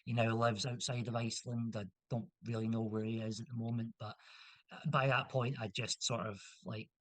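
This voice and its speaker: A man's voice: mid-range voice, little pitch movement, moderate pace, a bit bright, no echo. A young man from Scotland, a guest in a casual interview, talking in a rambling way with many restarts and fillers.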